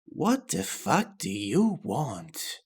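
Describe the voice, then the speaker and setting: A woman's voice: sits low, very animated, extremely slow, full character voice. A woman playing a sinister, mocking inner voice in a roleplay audio drama, drawing out her words. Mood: irritated.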